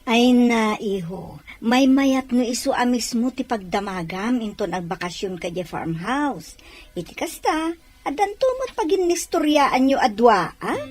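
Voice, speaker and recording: A woman playing an affectionate, patient mother in an audio drama, gentle and indulgent. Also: on the high side, animated, unhurried. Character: affectionate, patient mother advising her son.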